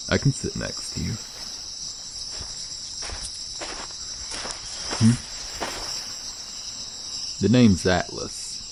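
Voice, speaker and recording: Guy doing a gentle curious shark man, asmr roleplay, real low voice, kinda slow and unsure, crickets in the background. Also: gravelly, background noise, heavily acted. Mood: friendly, curious. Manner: hesitant, casual, trailing off. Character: curious, gentle, shy male mer-shark humanoid, lonely and friendly. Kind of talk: ASMR roleplay, soft conversational acting to the listener. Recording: nature ambience with chirping insects. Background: crickets and outdoor night ambience.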